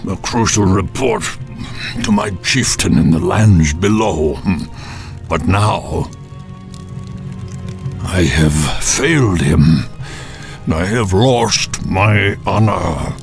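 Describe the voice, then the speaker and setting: An actor playing a proud old orc warrior in a fantasy game, with a rough, gravelly, labored voice. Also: male voice, sits low, takes it slowly, long pauses, soft background music, exaggerated voice. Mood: pained, ashamed. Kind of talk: dramatic fantasy game acting.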